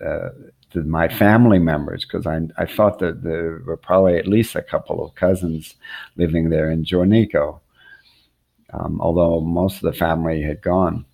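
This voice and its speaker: An older man telling a personal travel story about his family, unscripted and reflective, halting and repeating words as he goes. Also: sits low, fairly even intonation, creaky, darkish tone. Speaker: storyteller recounting a family-history trip.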